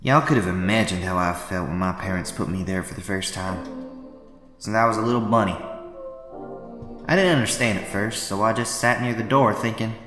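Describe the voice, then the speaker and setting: A man's voice: fairly low pitch, moderate pace, roomy sound, long reverb tail, over music, natural voice. A man voicing an indignant young boy bunny in an amateur cartoon dub, with a folksy, sassy drawl. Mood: somber recollection. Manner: folksy, sassy, scolding drawl with y'all and darn. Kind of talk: scripted amateur comic dub dialogue.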